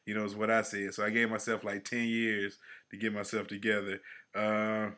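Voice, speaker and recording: A man in his forties co-hosting a podcast in casual chat, slangy and animated, trailing off quietly at the ends of phrases. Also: fairly low pitch, normal speed. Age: 40s. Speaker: podcast co-host.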